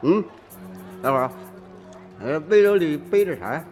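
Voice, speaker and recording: A man's voice: a little high, animated, moderate pace, a little mellow, lightly acted. Scrapyard boss, male actor: shrewd, canny, very varied intonation, radio drama with music under him. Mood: nosy, amused. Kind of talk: Chinese radio drama acting.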